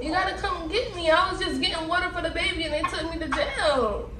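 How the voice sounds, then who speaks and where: High-pitched young American woman, echoey. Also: a little fast, sharp tone. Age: young adult. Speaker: prank-call video host. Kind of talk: casual prank-call chat. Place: indoor room with cloth backdrop. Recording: echoey, distant mic, faint noise.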